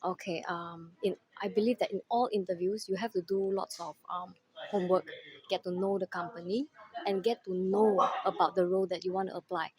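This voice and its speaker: A woman from Malaysia answering interview questions at an office, pausing often with "um". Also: lowish voice, fairly even intonation, a little mellow, rough recording. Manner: hesitant, many "um"s. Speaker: interviewee, quality assurance.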